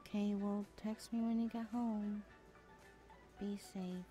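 A woman's voice: medium pitch, fairly even intonation, takes it slowly, dark tone, soft background music, close to an everyday voice. A woman playing a sassy, blunt twenty-one-year-old best friend in a casual, slangy audio roleplay, often sighing. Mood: frank, supportive. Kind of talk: conversational ASMR roleplay acting.

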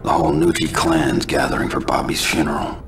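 A man playing a grim, vengeful vigilante in a video game, with an American accent and a deadpan, terse delivery. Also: a little fast, music in the background. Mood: grim, cold. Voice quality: gravelly, very deep. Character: grim, vengeful male vigilante, hard and brooding. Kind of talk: video game cutscene narration and dialogue.

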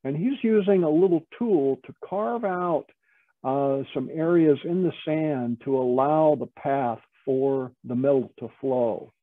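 A man giving an informal, explanatory slide presentation as an instructor, halting and often repeating words. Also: on the high side, no echo. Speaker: metalworking studio lead, instructor. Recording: tinny, narrow phone-like call audio.

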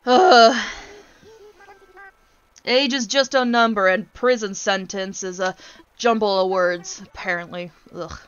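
A woman's voice: medium pitch, steady tone, takes it slowly, pauses often, clear, resonant tone, muffled recording. A woman in her twenties ranting casually on a gaming stream, blunt, exasperated and sweary as she tells stories. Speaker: streamer, VTuber host.

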